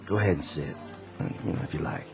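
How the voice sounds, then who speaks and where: A man playing a tired, kindly Confederate sergeant, speaking gently and plainly in a radio drama. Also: very deep voice, fast talker, gravelly, music in the background. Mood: weary, kindly. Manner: gentle, fatherly, plain-spoken. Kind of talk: 1960s-style radio drama acting.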